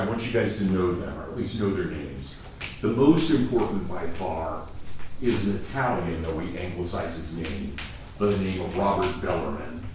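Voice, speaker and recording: A man with a Kansas accent giving a college lecture, telling history as a lively story and repeating words for emphasis. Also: lowish voice. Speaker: college professor. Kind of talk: classroom lecture. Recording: echoey classroom from far off, faint noise.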